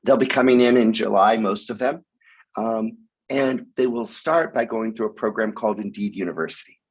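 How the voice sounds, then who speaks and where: A man speaking hoarsely with a raspy voice while sick with the flu, giving a halting sponsor talk over a video call with many ums. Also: fairly low pitch. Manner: halting, frequent ums, occasional cough. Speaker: sponsor speaker at hackathon opening. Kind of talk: online event speech.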